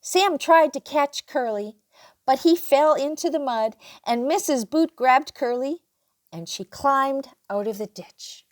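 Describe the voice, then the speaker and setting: Storyteller, older female, for children's picture book read-aloud. Slow, expressive delivery with lively swings in pitch. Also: no room sound, studio quality. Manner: animated, unhurried, acts out dialogue.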